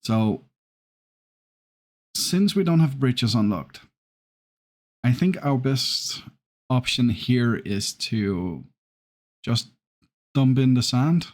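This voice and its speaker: A man's voice: medium pitch, animated, darkish tone. A man in his thirties from Scandinavia, with a Scandinavian accent with traces of Irish, giving casual, hesitant commentary over his gameplay. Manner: hesitant, many ums and restarts, unhurried. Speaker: gaming YouTuber.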